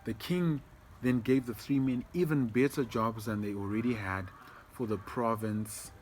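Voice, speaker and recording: A man's voice: medium pitch, measured pace, a little mellow, slight background noise. A man telling a story to children informally as a church storyteller, explaining things and addressing them directly.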